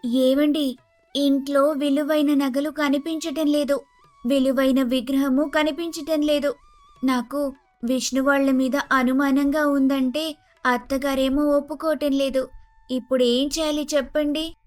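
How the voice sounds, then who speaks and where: A woman playing an earnest young daughter-in-law in an animated moral story, over music. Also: sits high, unhurried, dry recording. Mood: worried. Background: faint music.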